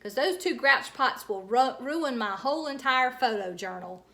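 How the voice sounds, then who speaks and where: A woman voicing an excitable, loud, cheeky first-grade girl in a storybook, exclaiming in childish grammar. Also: sits high, moderate pace, vocal fry, voice pushed up, exaggerated voice. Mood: bossy, excited.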